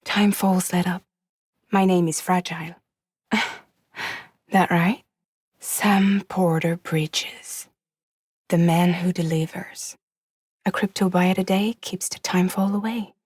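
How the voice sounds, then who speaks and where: An actress playing a guarded, wry woman courier in a post-apocalyptic video game, with soft, breathy, measured and deadpan delivery. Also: on the low side, dry recording, studio quality. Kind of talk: cinematic video game acting.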